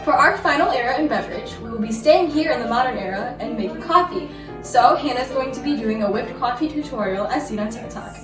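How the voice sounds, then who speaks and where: A young woman with a fairly high voice hosts from a script. She sounds echoey and away from the mic, with music clearly playing underneath. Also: animated, fairly quick, recorded from a distance. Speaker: presenter and editor. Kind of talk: scripted video hosting. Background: background music.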